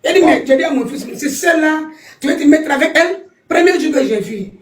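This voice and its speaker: A woman's voice: high voice, lively intonation, brisk, roomy sound. An older woman, the guest's mother, speaking emphatically and repeating herself, laughing now and then. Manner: emphatic, repetitive, laughing through relief. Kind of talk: emotional call-in remarks.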